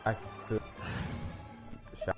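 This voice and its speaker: A man livestreaming a game with casual commentary and wry asides to himself. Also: extremely slow. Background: game music.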